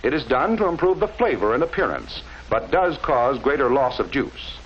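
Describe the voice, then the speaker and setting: A man narrating a scripted 1940s instructional film in a measured, didactic way with careful enunciation, on a vintage film soundtrack. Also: medium pitch, very animated, dull audio, slight background noise.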